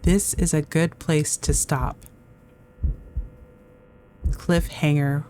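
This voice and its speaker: A woman doing a playful let's-play of a visual novel, reading and commenting in a theatrical, expressive way. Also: low-pitched, extremely slow, a little hiss or hum.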